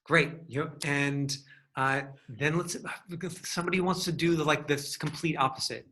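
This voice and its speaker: Bright, higher-pitched male acting coach. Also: fairly even intonation, moderate pace. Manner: encouraging, instructive. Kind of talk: hands-on acting lesson.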